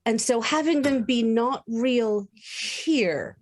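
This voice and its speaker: A woman playing a tabletop roleplaying game, explaining her character casually in a halting, thoughtful way. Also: on the high side. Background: music.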